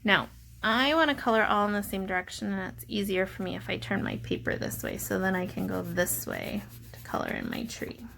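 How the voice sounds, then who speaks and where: A woman's voice: mid-range voice, huge pitch swings, a little fast, vocal fry, fairly bright tone, slight background noise. A woman teacher talking children through an art activity step by step, in simple explanatory language. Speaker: teacher leading a drawing lesson. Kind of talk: step-by-step instructional narration for children.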